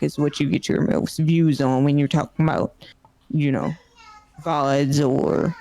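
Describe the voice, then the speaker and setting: A woman in her late twenties, a streamer guest, explaining her work in a measured way with many fillers. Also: low voice, a little mellow, dry recording, rough recording. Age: 20s. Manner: measured, explanatory, full of "you know". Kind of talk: casual interview chat.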